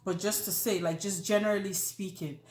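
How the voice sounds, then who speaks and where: A woman with a Nigerian accent, co-hosting a casual chat, joking and animated with many fillers. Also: medium pitch, a little fast. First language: not Yoruba. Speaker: co-host. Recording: echoey room.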